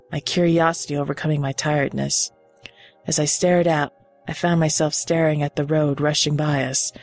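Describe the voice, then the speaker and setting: A woman giving a dramatic, suspenseful first-person reading of a horror story, pausing deliberately for emphasis. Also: low-pitched, darkish tone. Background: background music.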